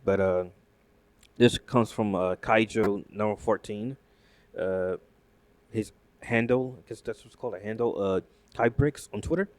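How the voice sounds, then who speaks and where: A man with a fairly low voice, talking casually as he reacts to news. He rambles with excitement, restarting sentences and leaning on fillers. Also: a little slow. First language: not Japanese. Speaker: YouTube commentator. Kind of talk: casual reaction commentary reading news aloud.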